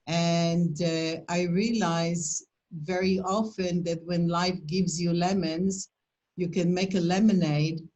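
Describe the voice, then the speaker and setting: Older woman, polish accent, slow deliberate pace, emphatic motivational presenter, video call audio. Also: fairly low pitch, dark tone, highs cut off. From Poland. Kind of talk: webinar question and answer.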